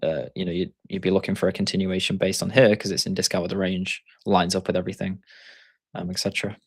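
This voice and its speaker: A man's voice: low voice, flat delivery, fast talker, husky, dry recording. A man with a Yorkshire accent, hosting a live trading stream and thinking aloud as he explains charts. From Yorkshire, England. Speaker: trading coach and stream host.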